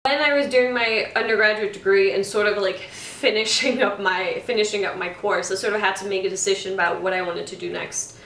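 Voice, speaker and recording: A woman's voice: medium pitch, steady tone, brisk, few pauses, a bit bright, far from the mic. Young danish woman talking it through, lots of ums, sounds a bit off-mic in an echoey room. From Denmark. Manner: thoughtful, hesitant with many ums and restarts. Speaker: former student. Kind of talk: casual talk.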